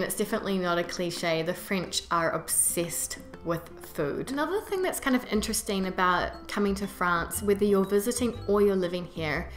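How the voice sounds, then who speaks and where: A young woman with a New Zealand accent chatting casually and emphatically to her viewers as a vlogger, with conversational asides. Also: fairly low pitch. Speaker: vlogger, expat presenter. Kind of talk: casual to-camera vlog chat. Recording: roomy echo with music behind. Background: background music.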